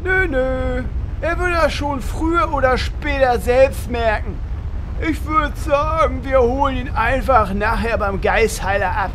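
Man playing a very high-voiced bossy adventurer. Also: unhurried, fairly bright tone, slight background noise. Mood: exasperated, practical. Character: bossy, pragmatic male fantasy adventurer in game parody. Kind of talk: comedic audio drama acting.

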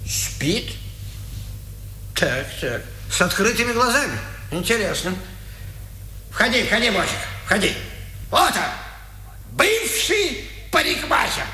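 Shrill, sneering prison warder. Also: male voice, sing-song, pushed and bright, heavily acted. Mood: sly, menacing. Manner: taunting, drawn-out jeers and barked commands. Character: sadistic, mocking male Nazi prison warder, jeering and barking orders. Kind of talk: 1950s radio drama acting. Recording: echoey 1950s radio studio recording.

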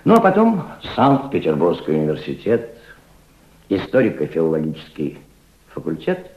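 A proud, cultured gentleman revolutionary speaks in a low, highly modulated voice. He reflects and orates like a fatherly mentor in an old radio play, heard in a roomy, echoing recording. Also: huge pitch swings, moderate pace, creaky, muffled recording, a little hiss or hum, full character voice. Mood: nostalgic, stirred. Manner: reflective, oratorical, fatherly toward a young listener. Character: proud, cultured older Russian aristocrat turned revolutionary diplomat. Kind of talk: Soviet radio drama acting.

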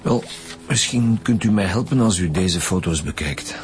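A Flemish male detective in a radio crime serial. He speaks in a low voice, blunt and businesslike, like someone used to leading a squad, with music clearly behind him. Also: expressive, normal speed. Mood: brisk, matter-of-fact. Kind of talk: naturalistic radio drama acting.

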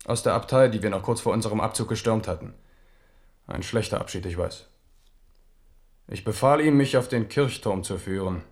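A man's voice: lowish voice, normal speed, long pauses, a little mellow, natural voice. An actor playing a restless, self-doubting Renaissance French king in a radio play, in standard German with a brooding, ironic manner. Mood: brooding, uneasy.

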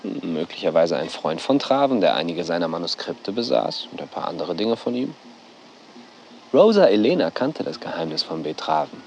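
A sceptical male scholar in a radio drama. His creaky voice moves widely in pitch, hesitating and then insinuating. Faint outdoor ambience with insects is behind him. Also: sing-song, moderate pace, darkish tone, a little hiss or hum. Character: sceptical male university researcher and author. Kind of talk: German radio drama acting.

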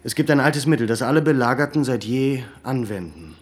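A resolute commander. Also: male voice, medium pitch, expressive, husky, faint music behind. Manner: firm, deliberate, commanding. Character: resolute male military leader planning a raid. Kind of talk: German radio play acting.

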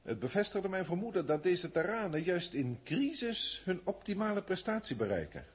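A detached alien overseer, speaks in a formal, clinical way. His pitch rises and falls in wide swings over a husky, rough tone. It sounds like an early 1960s radio drama. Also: very animated, normal speed, heavily acted. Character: cold alien overseer testing humans, middle-aged man. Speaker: senior proctor reporting to a galactic council.

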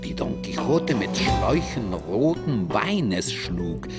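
A man's voice: on the low side, very animated, slow pace, over loud music. A man reciting verse and literary headings in a radio drama. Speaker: announcer.

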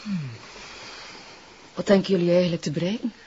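An actress playing a guarded, suspicious house guest in a crime drama, with a Flemish accent. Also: female voice, on the low side, brisk, mellow, a little hiss or hum, lightly acted. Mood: suspicious, defiant. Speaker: actor. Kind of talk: naturalistic crime drama dialogue. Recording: dull lo-fi audio.

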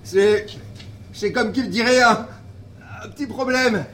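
A man's voice: extremely high pitch, slow, a bit bright, far from the mic, full character voice. An actor playing a sardonic, exasperated male police inspector who swears freely, in a comic audio drama. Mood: tense. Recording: roomy, off-mic, noisy action-scene sound.

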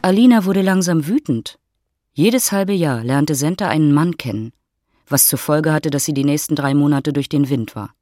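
A woman's voice: low-pitched, animated, moderate pace, mellow, no echo, studio quality. A woman narrating a literary audio drama from a script.

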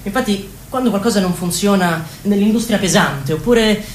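A woman playing an uneasy government minister telling the story in a radio play, with a halting delivery. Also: medium pitch, roomy sound, slight background noise, natural voice. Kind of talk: radio drama monologue.

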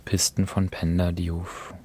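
A man with a very low voice hosts a reflective discussion. His intonation stays flat and his phrasing is careful and measured, with an earnest manner. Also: breathy edge. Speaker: podcast host introducing radio plays.

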